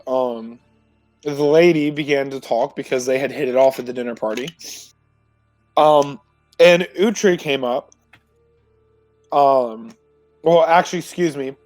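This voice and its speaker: A man informally recapping a previous tabletop roleplay session as a player, in a halting, self-correcting way. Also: on the high side, no echo, low-quality recording. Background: music.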